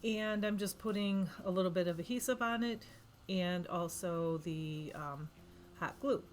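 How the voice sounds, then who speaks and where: A woman's voice: on the low side, unhurried, a little hiss or hum. A woman with a cold, losing her voice, giving a rambling, chatty voice-over of a craft project, correcting herself often. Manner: chatty, rambling, self-correcting with frequent ums. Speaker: craft vlogger.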